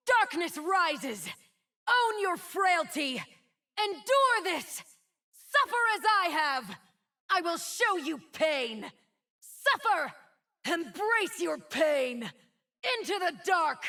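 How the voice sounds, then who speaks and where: A woman playing a dark, vengeful winged sorceress in a video game, giving intense, menacing commands. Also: very high-pitched, exaggerated voice. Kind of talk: video game character voice lines.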